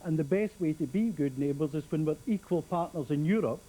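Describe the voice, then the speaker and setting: A male guest in a political debate, speaking in a measured, formal way. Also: fairly high pitch, dark tone, rough recording. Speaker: studio guest.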